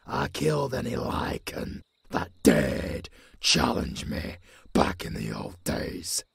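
Old British male werewolf, low-pitched, heavy rasp, grim mentor, sweary, swinging delivery, audio roleplay. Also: a bit bright, exaggerated voice. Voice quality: gravelly, husky, breathy growl. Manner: brooding, gruff, sweary. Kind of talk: dramatic audio roleplay monologue.

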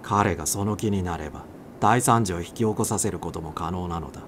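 Low-voiced man narrating a dramatic story. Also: a little fast. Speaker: narrator reading a short story aloud. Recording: clear background noise.

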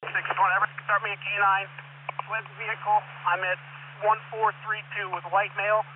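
A man working as a state trooper, giving terse, halting pursuit reports over police radio. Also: high voice, flat delivery. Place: moving patrol car. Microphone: police radio microphone. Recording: noisy narrowband police scanner radio.

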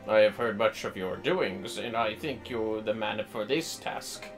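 A man voicing a solemn knight in a fantasy game, speaking formally in measured, archaic phrasing. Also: on the low side, soft background music, natural voice. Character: solemn knight officially petitioning an adventurer for aid.

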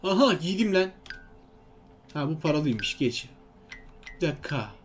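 A man's voice: a little high, very animated, a little slow, faint music behind. A man giving casual, exclamatory let's-play commentary, talking to himself and his viewers. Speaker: gaming video host.

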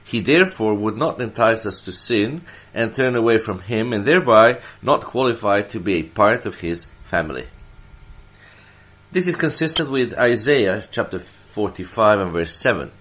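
A man from Serbia, a church elder, delivering Bible teaching from prepared notes in a deliberate way, stressing his phrases. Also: on the low side, lively intonation, fairly bright tone.

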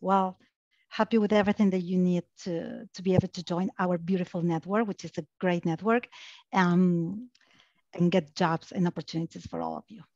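A woman's voice: on the low side, fairly even intonation, moderate pace, a little mellow, no echo. A woman from Argentina with an Argentine Spanish accent, introducing herself as a panelist over a video call headset, with many restarts. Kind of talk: casual panel chat. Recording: dry, muffled headset audio.

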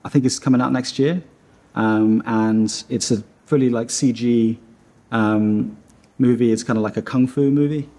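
A man's voice: mid-range voice, fairly even intonation, normal speed, dark tone. A man in his thirties from Iceland, a studio founder talking casually in a seated interview, rambling with lots of fillers and restarts. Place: dim studio room.

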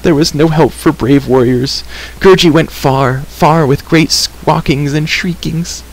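A man voicing a small shaggy creature from a storybook, whimpering, grovelling and self-pitying in drawn-out wails. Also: on the high side, pitched above the performer's own voice, rough recording. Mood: whimpering, pleading. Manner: whimpering, wailing, drawn-out rhyming third-person speech. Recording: clear background hiss.

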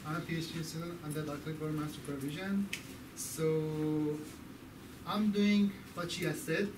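A man's voice: fairly high pitch, fairly even intonation, normal speed, background noise. A young man, a PhD student, introducing himself to a class in a light, joking way. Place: small classroom with hard walls. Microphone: far camera. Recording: echoey room from a distant camera.

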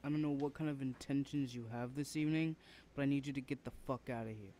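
A man's voice: medium pitch, steady tone, fast, mellow, close to an everyday voice. Man acting a hostile, protective older brother, noisy background. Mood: suspicious, angry. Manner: confrontational, swearing, demanding. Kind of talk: ASMR roleplay acting.

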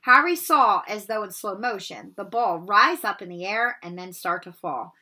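Bright-voiced woman reading a story aloud. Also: mid-range voice, animated, normal speed. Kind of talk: expressive children's novel read-aloud. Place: bedroom at home, indoors.

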